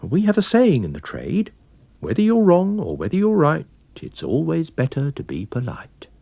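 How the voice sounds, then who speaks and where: A man voicing an affable, observant wine salesman and amateur sleuth, polite and gently pointed, in a dramatised story reading. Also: on the high side, wildly swooping pitch, moderate pace, creaky, dry recording, pitched above the performer's own voice, heavily acted. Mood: pleased, reflective.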